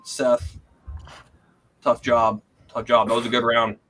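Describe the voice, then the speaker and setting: A man's voice: medium pitch, monotone, takes it slowly, a bit bright. A man hosting a comedy game show with casual, joking banter.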